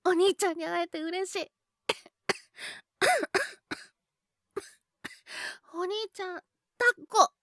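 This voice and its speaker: Woman voicing a very high-voiced, coughing little boy. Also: extremely slow, pauses often, pushed and bright, dry recording, clean studio sound, full character voice. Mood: clingy, wistful. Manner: pleading, sulky childish talk with frequent coughing. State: coughing, sick with a cold. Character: cheeky little boy with a cold, clinging to his older brother. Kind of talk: Japanese situation voice drama.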